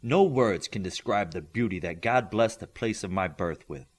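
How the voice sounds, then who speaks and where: A man's voice: on the low side, animated, normal speed. A man voicing a confident, proud teenage Greek boy superhero in a storybook, with boastful, grand declarations.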